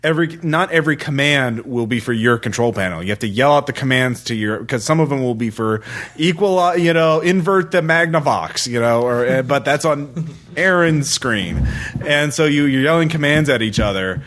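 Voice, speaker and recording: A man hosting a podcast, chatting casually about games in a rambling, halting way. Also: medium pitch, lively intonation, a bit bright. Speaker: podcast host.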